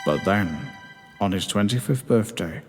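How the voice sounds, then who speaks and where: A man's voice: fairly low pitch, expressive, a little slow. A man reading his own comic story as narrator in a theatrical, mock-dramatic way. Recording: long reverb, music behind.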